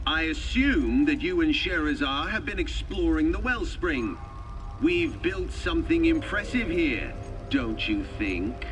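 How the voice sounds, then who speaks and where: A pompous male lecturer in a fantasy game, acted by a man, fairly high in voice and very lively in pitch. He is formal and evasive, handing down instructions. Music plays clearly behind him. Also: unhurried, low-quality recording. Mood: commanding. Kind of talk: scripted video game dialogue.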